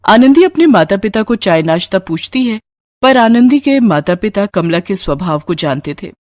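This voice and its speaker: A woman's voice: medium pitch, big pitch swings, normal speed, darkish tone, no echo. A woman storyteller reading scripted narration of a devotional moral tale.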